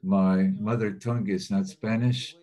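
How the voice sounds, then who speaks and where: A man with a low, mellow voice interpreting a webinar talk. He speaks in a steady, measured way with an airy, husky edge, and pauses now and then as he works. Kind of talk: simultaneous interpretation of webinar talks. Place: remote webinar.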